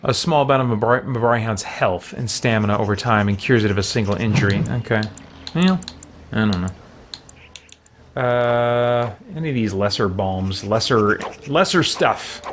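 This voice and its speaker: A man's voice: fairly low pitch, normal speed, slight background noise. A middle-aged man doing casual gameplay commentary, thinking aloud in a hesitant, musing way. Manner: hesitant, musing, mutters to himself. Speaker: game streamer.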